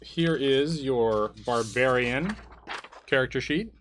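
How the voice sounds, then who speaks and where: A man's voice: mid-range voice, takes it slowly, fairly bright tone, a little hiss or hum. A man hosting a live game stream, talking casually to his guest and viewers. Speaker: stream host.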